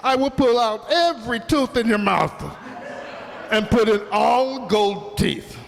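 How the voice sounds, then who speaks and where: A man's voice: very high-pitched, takes it slowly, gravelly, big-room sound, full character voice. A man playing a pushy, scheming Italian immigrant restaurant owner in an old-time radio comedy, exclaiming and wheedling in broken English with an Italian accent. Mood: wheedling, blustering.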